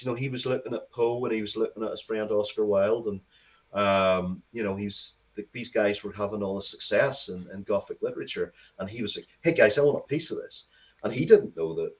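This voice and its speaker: A man's voice: fairly low pitch, a little fast. A man talking casually and opinionatedly as an interview guest, emphatic and repeating words to drive points home.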